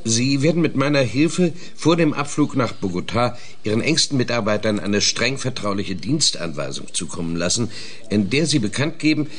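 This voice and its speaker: A man's voice: lowish voice, fairly bright tone, a little hiss or hum. A man playing a methodical investigator in a German radio play, hesitant at first, then explaining pedantically. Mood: businesslike. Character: methodical male investigator, specialist in traps.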